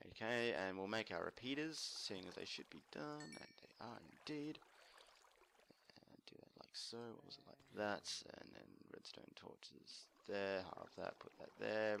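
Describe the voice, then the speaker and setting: A man casually narrating a building tutorial while playing, murmuring to himself and correcting himself as he goes, his voice a little croaky. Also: on the low side, a bit bright, slight background noise. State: slightly croaky from screaming at a concert. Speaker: let's play host.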